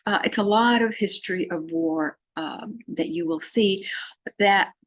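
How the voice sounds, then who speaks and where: A woman from Syria giving a recorded course lecture, talking in a halting way with many fillers and restarts. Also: medium pitch, a bit bright, dry recording. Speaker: literature lecturer.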